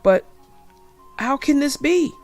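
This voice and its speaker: A woman's voice: sits high, lively intonation, slow, gravelly, darkish tone, faint music behind, voice pushed up, heavily acted. A woman voicing a bewildered, fearful childless man in a Bible storybook, questioning emphatically.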